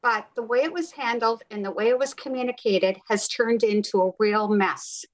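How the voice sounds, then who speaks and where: A woman's voice: on the high side, normal speed, rough recording. Woman delivering a formal council statement. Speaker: city councillor. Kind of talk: formal prepared council speech.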